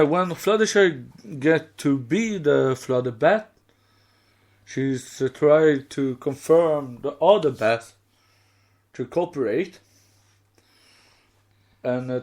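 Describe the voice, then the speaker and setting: A man with a Swedish accent chatting as a podcast co-host, halting as he searches for words in English. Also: a little high, a little mellow. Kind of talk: casual fan podcast chat.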